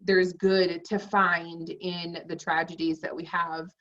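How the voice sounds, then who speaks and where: A woman host with a slightly low voice asks her guest encouraging, rambling questions, padded with fillers. She sits a little away from the mic, and the audio comes through muffled. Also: flat delivery, slow pace, a bit bright, recorded from a distance. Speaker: podcast host. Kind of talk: casual interview.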